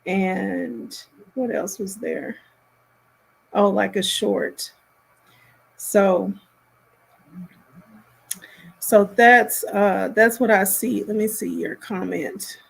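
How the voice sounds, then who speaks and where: A woman hosting a casual live stream, giving commentary in a rambling, halting way as she thinks aloud. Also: mid-range voice, steady tone, vocal fry, husky, rough recording.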